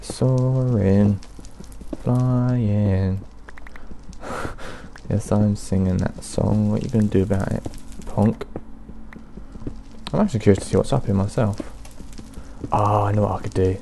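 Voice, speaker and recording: A young man with a low, gravelly voice, narrating a video game at his own unhurried pace. He mumbles to himself and sounds like his throat is bothering him. A faint hiss sits under his dark, mellow tone. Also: fairly even intonation. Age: young adult. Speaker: gaming channel host. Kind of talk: casual let's play commentary.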